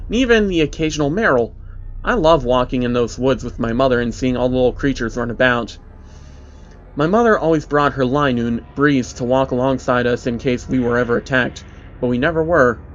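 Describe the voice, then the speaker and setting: Man narrating a story, slightly dull audio. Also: mid-range voice. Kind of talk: scripted dramatic story narration. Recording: dull lo-fi audio under music.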